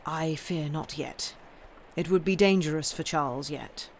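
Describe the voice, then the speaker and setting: A calm, gentle elderly doctor answers in a slow, quiet, soothing way, as a woman reader puts him on. The voice is low and soft, with a faint hiss underneath.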